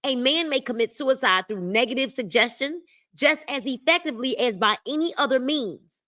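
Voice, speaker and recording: A woman hosts a live-streamed book club, moving between reading the text aloud and her own emphatic asides. Her voice is bright and sits a bit high, with animated swings in pitch. She repeats her main points and calls out to viewers in the chat. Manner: emphatic, repeats key phrases and speaks to the chat. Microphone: livestream mic.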